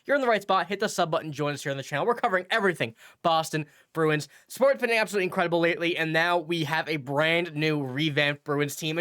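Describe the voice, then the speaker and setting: A man's voice: fairly high pitch, a bit bright. A man with a stuffy, nasal voice from a cold, hosting a casual hockey news commentary and rambling through his points. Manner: rambling, self-correcting, reads quotes aloud. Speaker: hockey news channel host.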